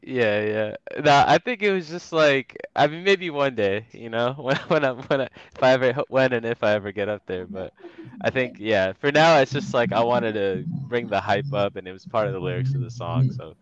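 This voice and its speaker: A man's voice: medium pitch, animated, normal speed, clear, resonant tone, no echo, lo-fi sound. A young man from the Washington, D.C. area, a guest rapper talking casually in a podcast interview, laid-back, with frequent laughs and fillers. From Washington, D.C. area, USA.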